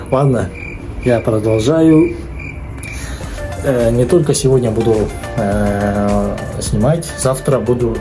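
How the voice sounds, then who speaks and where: Halting man vlogging. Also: mid-range voice. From Kazakhstan. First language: Kazakh. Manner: halting, searching for words, many fillers. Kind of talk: casual vlog talk.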